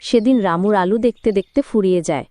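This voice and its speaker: A woman's voice: medium pitch, fairly quick, background noise. A woman narrating a cartoon folk story in a scripted storybook style.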